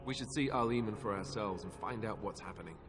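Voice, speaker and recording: A man as a wary, low-voiced adventurer. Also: fast, close to an everyday voice. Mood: wary, uneasy. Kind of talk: fantasy game voice acting. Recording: hall reverb, music behind.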